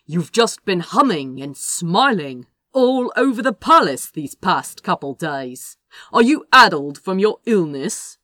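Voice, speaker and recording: A woman's voice: lowish voice, big pitch swings, unhurried, bright, forceful tone, no room sound, studio quality, exaggerated voice. A woman voicing a stern, skeptical older brother of a prince in an audiobook story. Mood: disapproving. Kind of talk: fan fiction audiobook dialogue.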